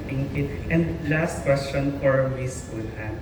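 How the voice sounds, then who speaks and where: A man's voice: mid-range voice, slow pace. A man asking questions as a journalist, hesitant and full of fillers. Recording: echoey hall, distant mic, noisy.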